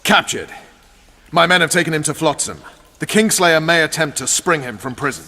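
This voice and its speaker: Raspy, forceful commander. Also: male voice, a little high, animated, normal speed, big-room sound, heavily acted. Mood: urgent. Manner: brusque, commanding. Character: brusque, urgent male military commander. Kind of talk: video game voice acting.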